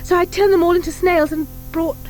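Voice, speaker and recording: A woman voicing an eager, anxious young schoolgirl witch in an audiobook, earnest, excitable and pleading. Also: very high voice, fairly quick, faint music behind, voice pushed up, rough recording. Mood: anxious, rushed.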